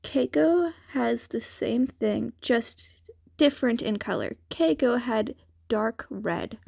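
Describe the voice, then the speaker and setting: A woman's voice: on the high side, lively intonation, slow, gravelly, darkish tone, husky, dry recording, clean studio sound. A woman reading fan fiction aloud as a scripted narration. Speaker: podfic reader.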